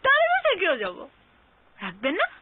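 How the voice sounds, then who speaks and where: A young woman acting a cheeky, bold character in a TV romantic drama, chattering insistently and quick to talk back. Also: extremely high pitch, wildly swooping pitch, dry recording, exaggerated voice. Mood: chirpy, pushy. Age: young adult. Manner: chattering, insistent, repeats herself. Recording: thin phone-like sound, faint music.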